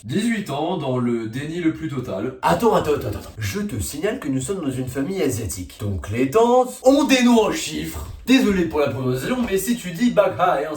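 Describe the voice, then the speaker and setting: A young man giving a loud, sarcastic comic monologue full of slang and fillers. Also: fairly high pitch. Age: young adult. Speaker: video creator. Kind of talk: comedic monologue vlog. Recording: roomy echo, a little far from the mic.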